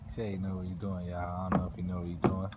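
A man's voice: low voice, monotone, racing, lo-fi sound. A young man filming on a pier, giving casual, teasing commentary to viewers and laughing often. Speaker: vlogger filming family. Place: outdoors on a wooden pier by a pond. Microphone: phone camera held in hand. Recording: outdoor phone recording with background noise.

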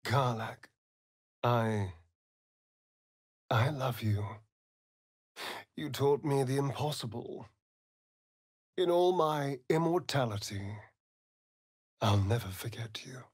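A man's voice: medium pitch, slow pace, pauses often, breathy edge, no room sound, clean studio sound. A man with a British accent playing a vain, sardonic immortal vampire rogue in a fantasy video game, theatrical and arch. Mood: tender, wistful. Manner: arch, drawling, flamboyant.

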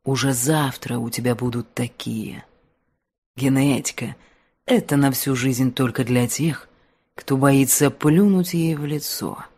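A predatory, mysterious temptress of wealth and power, voiced by a female audiobook narrator. She murmurs seductively in a very low, husky register, slowly and with silky command. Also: warm tone, full character voice. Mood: tempting, insinuating.

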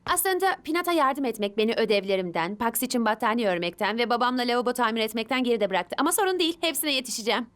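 A woman's voice: a little high, expressive, fast talker, hardly any pauses, fairly bright tone. A woman voicing a kind, eager, busy young girl heroine in an earnest, upbeat way for a children's cartoon dub. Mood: upbeat, determined.

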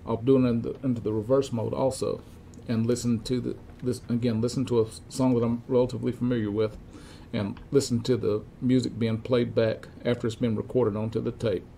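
Older male, gravelly, relaxed delivery; halting, methodical how-to explainer, informal, with some background room noise. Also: mid-range voice. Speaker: repair technician demonstrating equipment checks. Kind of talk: informal instructional demonstration. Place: cluttered indoor electronics workshop.

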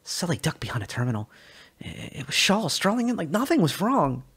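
A man reading a sci-fi short story aloud as narrator, expressive with small slips. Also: fairly high pitch, fairly quick, breathy edge. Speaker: reader.

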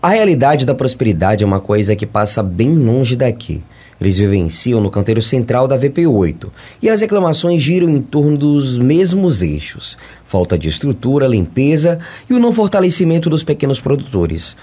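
Husky Brazilian newsman with swooping intonation. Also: medium pitch, big pitch swings, normal speed, warm tone. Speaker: reporter narrating a TV news report. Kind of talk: scripted news narration.

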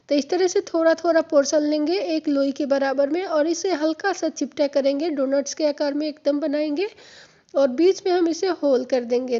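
A woman with a slightly hoarse throat explaining a home cooking recipe step by step in a friendly, instructive way, recorded in a home kitchen. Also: sits high, warm tone, lo-fi sound. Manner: friendly, instructive, repeats steps. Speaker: home cooking channel host.

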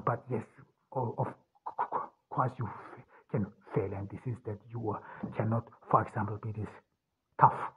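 Husky man, halting monologue on tinny line. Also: mid-range voice. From Austria. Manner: halting, many restarts and fillers. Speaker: podcast host. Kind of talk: solo spoken monologue.

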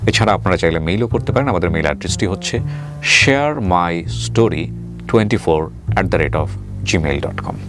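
A man's voice: deep voice, lively intonation, a little fast. A man with a Bangladeshi accent hosting a life-story interview show, speaking in a formal, explanatory way. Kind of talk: spoken show introduction and interviewing. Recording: loud background music under the voice. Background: music.

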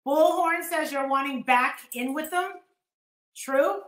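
A woman's voice: sits high, slow, reverberant. A middle-aged American woman ranting casually on a livestream in a blunt, scornful, sweary manner. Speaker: YouTube host. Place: living room at home, indoors.

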